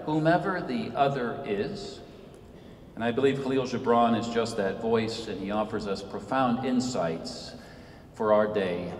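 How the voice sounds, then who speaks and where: A man in his fifties from Senegal, giving a measured, earnest public lecture from a podium in a church. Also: medium pitch. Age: 50s. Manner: measured, earnest, rises and falls for emphasis. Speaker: guest speaker, author. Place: large church sanctuary. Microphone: podium mic, recorded from far off. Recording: echoey church, distant mic, faint hiss.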